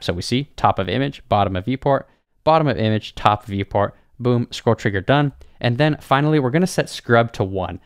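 A young man teaches a web design tutorial from his home studio, calmly explaining each step. His voice sits a little low. A boom mic captures it close and dry, with no room sound. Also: no room sound. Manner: relaxed, explanatory, talks through each step. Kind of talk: screen-recorded coding tutorial. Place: small home studio, indoors. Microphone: studio mic on boom arm.

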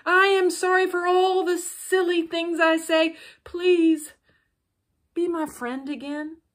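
Woman voicing an anxious, high-pitched storybook toad. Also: level tone, slow pace. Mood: pleading, sorry. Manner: earnest, emphatic, pleading. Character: anxious, devoted male toad, eager best friend.

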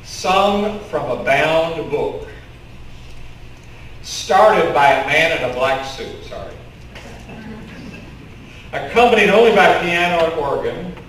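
Slow-speaking pastor in an echoey sanctuary. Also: male voice, a little high, expressive, fairly bright tone, distant mic, slight background noise. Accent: Kentucky. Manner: conversational storytelling preacher, gestures with open hands. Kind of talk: sermon. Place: church sanctuary in front of a curtain. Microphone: stand mic with headset mic, filmed from the congregation.

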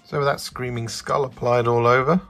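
A man's voice: mid-range voice, fairly even intonation, brisk, a bit bright. A man giving an instructional voice-over as the host of a painting tutorial. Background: background music.

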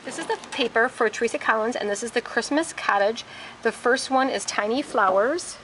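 A woman's voice: fairly high pitch, brisk, fairly bright tone, a little hiss or hum, poor audio. A woman hosting a casual product walkthrough in a large warehouse, plainly naming each item one by one while she films from behind the camera. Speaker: store host showing products. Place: large warehouse with wire shelving. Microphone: handheld camera, speaker behind it.

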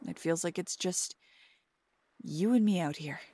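A young woman doing a soft, playful, teasing ASMR girlfriend roleplay. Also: on the low side, measured pace.

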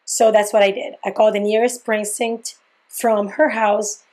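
A woman in her thirties from Brazil with a Brazilian Portuguese accent, telling a personal story on her channel in an animated, expressive way, seated close to a webcam at home. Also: medium pitch, normal speed. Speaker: YouTuber. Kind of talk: story time vlog. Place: indoors, small home room. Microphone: webcam framing, seated close to camera.

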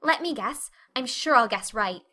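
Woman playing an excitable, bright-voiced dreamy young girl. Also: fairly high pitch, moderate pace. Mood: breathless excitement. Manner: effusive, breathless, wide-eyed. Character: dreamy, chatty, excitable eleven-year-old orphan girl. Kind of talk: dramatic audiobook reading.

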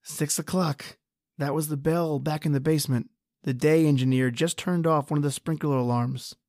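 A man's voice: a little high, fairly even intonation, normal speed, a little mellow, dry recording, clean studio sound, natural voice. A man playing a desperate, injured husband trapped underground in a horror radio drama. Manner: pleading, ragged, then defiant.

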